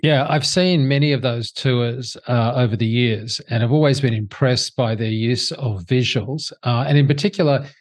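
A man co-hosting a podcast, asking questions eagerly with quick bursts of speech. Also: mid-range voice. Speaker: co-host, interviewer. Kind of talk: conversational interview.

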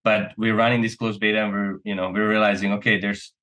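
A man's voice: lowish voice, little pitch movement, quick pace, pushed and bright. A man from Serbia talking casually and earnestly as a company co-founder being interviewed, often checking in with "right?".

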